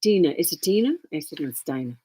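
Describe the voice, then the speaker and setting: A woman co-hosting a casual knitting podcast, talkative and teasing, laughing a lot, with a husky voice. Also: deep voice, lively intonation, fast, dark tone. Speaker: podcast co-host. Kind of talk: casual chat.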